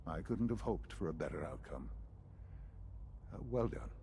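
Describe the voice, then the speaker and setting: An actor playing a calm, aging scientist leader in a video game, speaking in a measured, formal, paternal way. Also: male voice, sits low, creaky, slight background noise. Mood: composed, grave. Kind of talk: video game character dialogue.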